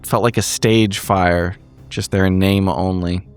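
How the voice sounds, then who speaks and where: Low, smooth-voiced man recapping a story. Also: fairly even intonation, measured pace, faint music behind. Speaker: podcast player giving the episode recap. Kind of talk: casual spoken recap of a horror roleplaying story.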